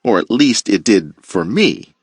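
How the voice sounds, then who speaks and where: A man teacher reading a children's picture book aloud with playful, expressive storytelling. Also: fairly low pitch, measured pace, breathy edge, no room sound.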